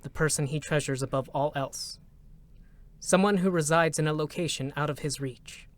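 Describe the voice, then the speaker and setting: A man narrating a scripted drama in a measured, solemn manner, with short, deliberate phrases. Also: a little high. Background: music.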